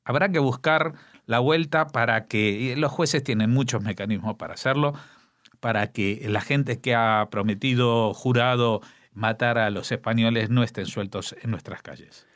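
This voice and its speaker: A man with an Argentine accent, a radio news commentator who reads a poem aloud in slow, solemn recitation, letting lines trail off quietly. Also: mid-range voice, no echo, clean studio sound. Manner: slow, solemn recitation with dramatic pauses and hushed endings. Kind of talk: radio commentary and poetry reading.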